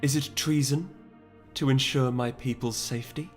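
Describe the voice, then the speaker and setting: A man's voice: medium pitch, fairly even intonation, normal speed, soft background music, natural voice. A man voicing a proud, resolute noble mare who speaks formally and imperiously in a dramatic fantasy audiobook. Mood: cold, determined.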